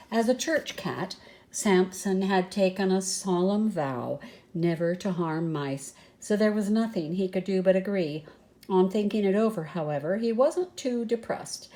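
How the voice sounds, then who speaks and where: A woman's voice: mid-range voice, mellow. An older woman reading a children's story aloud expressively, with chatty asides to the listener. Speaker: storybook reader.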